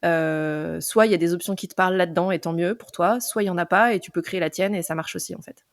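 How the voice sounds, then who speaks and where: A woman's voice: fairly low pitch, fast, dry recording, studio quality. A woman in her forties, an entrepreneur and podcast guest, talking through her story with many hesitations and fillers. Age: 40s. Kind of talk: casual interview chat.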